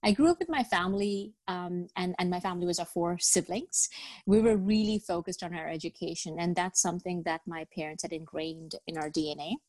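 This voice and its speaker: A woman's voice: fairly low pitch, fairly quick, darkish tone, no room sound. A middle-aged woman from Bangladesh, raised in the UAE, answering questions as a podcast guest. She speaks thoughtfully, with many fillers and repeated words. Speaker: guest, business professional. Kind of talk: podcast interview.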